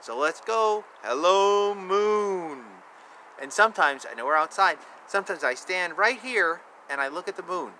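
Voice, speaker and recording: A man reads a children's picture book aloud outside, his voice high and very animated. He takes it slowly in a sing-song way, dropping in casual asides, with clear ambient noise around him. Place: outdoors. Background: outdoor ambience.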